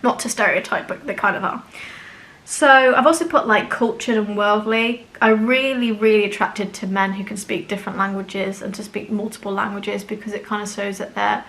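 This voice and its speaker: A woman vlogger talking straight to her viewers in a loose, chatty way. Her speech keeps filling with "like" and "you know" as she thinks aloud. Her pitch sits in an ordinary middle range. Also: fairly even intonation, normal speed, gravelly, fairly bright tone, rough recording. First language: English. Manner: chatty, frank, with many "like" and "you know" fillers. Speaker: spiritual channel host. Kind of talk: casual talk to camera.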